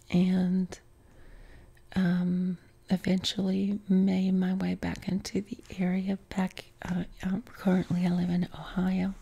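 A middle-aged woman with a softened Appalachian accent whispers close to the mic, her voice airy and husky with no tone behind it. She talks slowly and evenly, with a mellow, low-key flatness and frequent soft ums. She sits on a couch in her living room. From West Virginia. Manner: unvoiced whisper, unhurried with many ums. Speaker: ASMR creator. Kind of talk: whispered Q&A chat. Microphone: close mic, camera framed on torso.

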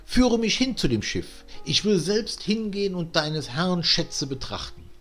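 A young fairy-tale princess, acted out by a man reading aloud, proud and quick to get excited. The pitch swings freely, and the sound is a little husky over faint music. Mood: eager, curious. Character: young princess, delighted by gold, proud, easily alarmed.

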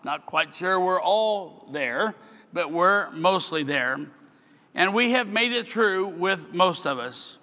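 An older man, a bishop, giving an earnest sermon address at a podium, slowing down as he reads scripture. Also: sits high, lively intonation, sharp tone. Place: large hall at a podium. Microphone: podium microphone. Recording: long hall reverb.

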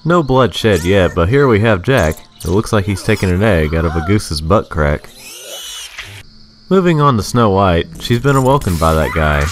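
A man's voice: low-pitched, expressive, normal speed, soft background music. A man reading a scripted, rhyming video game review in a sarcastic, sing-song manner.

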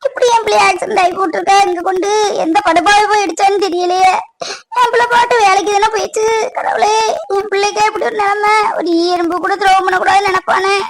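A woman playing a distraught mother in a family melodrama, tearful and lamenting, with a southern Tamil Nadu accent. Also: very high voice. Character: distraught, wailing mother of an injured grown son. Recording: clearly noisy track with clicks.

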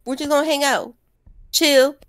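A woman acts a sassy friend with a high voice. She is casual and reluctant, repeating herself as she improvises in a homemade roleplay. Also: steady tone, normal speed, a little hiss or hum, close to an everyday voice.

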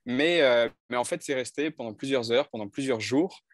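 A man's voice: medium pitch, normal speed, no echo. A man in his thirties from northern France, a coach telling his life story in a video call interview, thoughtful and hesitant with many restarts. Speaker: coach and speaker. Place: bright indoor room. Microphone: headset on video call.

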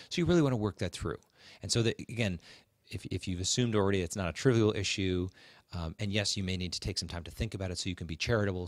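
A man with a very low voice talks as a podcast host giving advice. He is conversational and emphatic, circling back to restate each point. The sound is close and dry, with no room around it. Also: no room sound.

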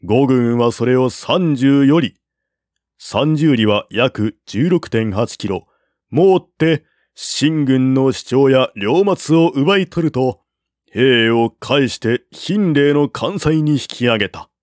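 Slow, measured male narrator. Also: medium pitch, expressive, a little mellow, dry recording, studio quality. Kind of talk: audiobook reading of a classical war novel.

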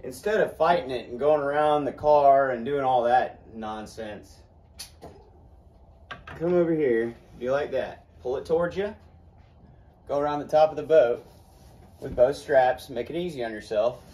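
A man's voice: medium pitch, a little slow, pauses often. Young man giving plain how-to directions outdoors. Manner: relaxed, plainspoken step-by-step directions. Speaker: kayaker demonstrating gear. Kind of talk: casual how-to instruction. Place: outdoors under a carport at night. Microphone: phone camera, moving around the car.

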